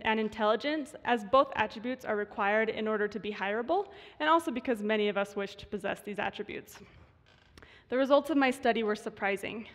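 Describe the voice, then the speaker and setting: Young female speaker with vocal fry, slightly high-pitched, stage talk in a reverberant hall. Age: 20s. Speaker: student voice researcher giving a talk. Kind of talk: prepared short stage talk.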